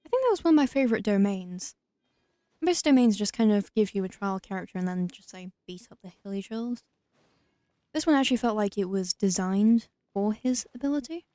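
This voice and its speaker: Mellow woman giving dry gaming commentary. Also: medium pitch, expressive, brisk, clear, resonant tone, no echo. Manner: dry, wry asides. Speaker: gamer, let's play host. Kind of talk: casual game commentary.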